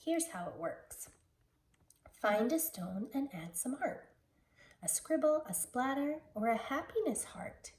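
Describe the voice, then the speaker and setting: A woman, a teacher, reading a children's picture book aloud in an expressive storytelling style. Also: high voice, takes it slowly. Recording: roomy, distant mic with background noise.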